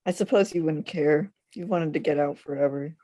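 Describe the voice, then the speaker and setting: A woman's voice: sits low, brisk, warm tone. A woman playing a teasing, pressing teenage friend in a script table read. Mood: curious, wry. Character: teasing, needling teenage friend of a girl leaving town.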